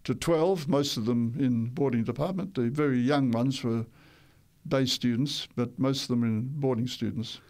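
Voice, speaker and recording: An older man recounts his life in a radio interview, speaking at a middling pitch. He rambles a little, restarts and corrects himself, and chuckles now and then. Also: normal speed, darkish tone. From Tonga. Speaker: interview guest.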